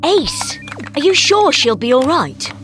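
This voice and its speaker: Anxious high-voiced woman. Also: big pitch swings, measured pace, fairly bright tone, faint music behind, full character voice. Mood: worried. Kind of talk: audio drama acting.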